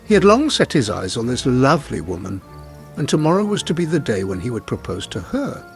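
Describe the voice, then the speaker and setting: A man narrating a scripted folk tale in an expressive, theatrical manner. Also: mid-range voice, a little fast, soft background music. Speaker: storyteller narrator.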